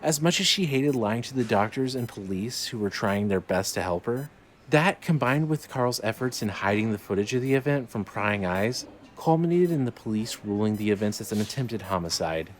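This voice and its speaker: A man's voice: on the low side, lively intonation, normal speed. A man narrating scripted fiction in a dramatic storytelling style. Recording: light noise, ambience behind.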